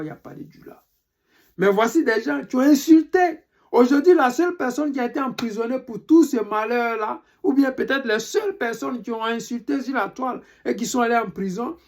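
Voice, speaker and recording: An Ivorian speaker with an Ivorian French accent, delivering an indignant, emphatic political monologue to followers and hammering questions again and again. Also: medium pitch. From Côte d'Ivoire. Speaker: political commentator. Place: living in Europe.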